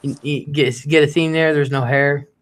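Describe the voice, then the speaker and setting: A man's voice: fairly high pitch, steady tone, moderate pace, very noisy. A man hosting a live call-in show, teasing and flirting with callers and laughing often. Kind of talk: casual flirty call-in chat.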